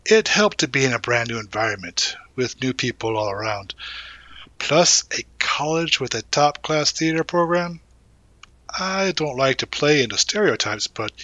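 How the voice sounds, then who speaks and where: A man acting as a young college guy, protective and gently teasing. His voice is bright and crisp-edged, recorded close and dry. He speaks casually, in a reassuring, intimate roleplay style, with thoughtful pauses and little murmured asides. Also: medium pitch, dry recording. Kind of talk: intimate audio roleplay, one-sided conversation.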